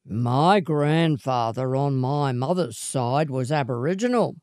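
A woman voicing a scruffy male wanderer and suitor in a storybook, boastful and coaxing, with measured delivery. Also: extremely low pitch, expressive, a little mellow, no room sound, studio quality, exaggerated voice. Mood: calm. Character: scruffy swagman suitor, boastful, unhurried, persistent.

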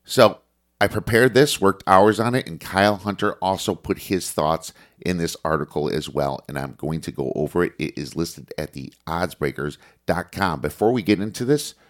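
A man with a head cold, sounding congested, going through a list of sports notes in a casual podcast with a steady reading delivery and some fillers. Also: deep voice, normal speed. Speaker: sports betting podcast host.

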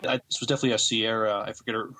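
Very fast-talking man, slightly low voice. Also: level tone, pushed and bright, rough recording. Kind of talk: casual TV review chat.

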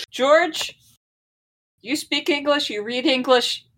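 A woman's voice: high voice, slow pace, a bit bright, poor audio. A woman playing in a tabletop game, chatting casually with dry, wry remarks. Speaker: player.

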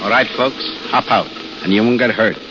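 A man's voice: fairly low pitch, moderate pace, natural voice. Terse, commanding gangster boss, played by a man. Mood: cool menace. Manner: terse, tough, slangy. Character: cool, commanding outlaw gang boss. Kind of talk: 1930s radio acting. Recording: muffled, crackling old radio broadcast.

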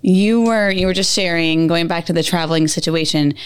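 A woman's voice: on the low side, a little fast, clear, resonant tone. A woman co-hosting a podcast, putting friendly questions to her guest. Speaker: podcast co-host. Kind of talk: casual interview chat.

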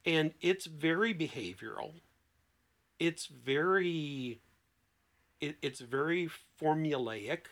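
Bright, forceful man explaining as therapist. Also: a little high, lively intonation, slow, pauses often. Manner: emphatic, acts out imagined client dialogue. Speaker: therapist, podcast guest. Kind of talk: animated conversational explanation.

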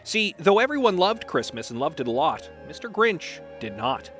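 A man hosting a podcast, narrating in a wry, deliberate storytelling way. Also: a little high, very animated, fairly bright tone, soft background music. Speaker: podcast host.

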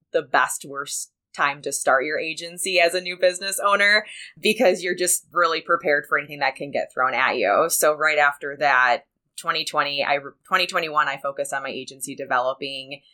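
Bright, low-pitched woman. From Peru, Illinois, United States. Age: 30s. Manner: upbeat, quick to laugh. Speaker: podcast guest, small business owner and insurance agent. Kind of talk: conversational interview answers. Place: studio in an office.

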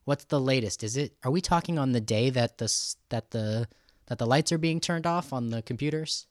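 Male podcast interviewer. Medium pitch, informal and halting, conversational, recorded very dry. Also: no echo.

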